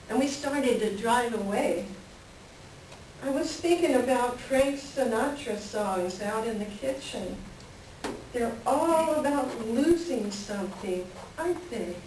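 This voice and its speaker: A woman's voice: on the high side, darkish tone, lightly acted. A woman playing a wistful, melancholy married woman in a staged play reading, with a reflective storytelling manner. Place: library reading before a microphone at some distance. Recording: very echoey room, distant mic, faint noise.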